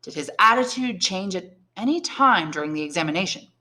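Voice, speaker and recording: A learned old prisoner priest from a classic novel , read aloud by a woman. He speaks deliberately and shrewdly, weighing each point like a patient tutor, with a kindly wisdom beneath the probing. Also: fairly low pitch, expressive, sharp tone, voice pushed up. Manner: deliberate, probing questions and measured explanations.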